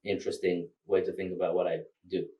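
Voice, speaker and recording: A man's voice: sits low, flat delivery, quick pace, recorded from a distance, dull audio. A man from Rwanda, Kinyarwanda his first language, talking loosely as a filmmaker guest with many fillers and laughs. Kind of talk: casual interview.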